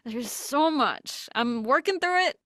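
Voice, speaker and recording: A woman's voice: high voice, expressive, takes it slowly, a bit bright, dry recording, clean studio sound. A woman speaking casually as an interview guest, candid and self-deprecating, with some loose swearing. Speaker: interview guest, voice actor and illustrator.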